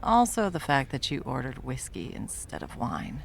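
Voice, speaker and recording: Casting: actress as confident, teasing female bartender for ASMR roleplay. Very deep, creaky voice, intimate delivery. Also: huge pitch swings, slight background noise, exaggerated voice. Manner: teasing, unhurried, soft chuckles, dropping toward whispers. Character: confident, flirtatious, protective hot female bartender. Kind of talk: ASMR roleplay, intimate one-sided conversation.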